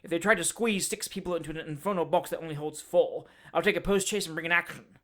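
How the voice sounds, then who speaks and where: A man voicing a fierce, blustering former army man in middle age, speaking loud, short, abrupt, jerking sentences. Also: on the high side, fast talker, natural voice. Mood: indignant.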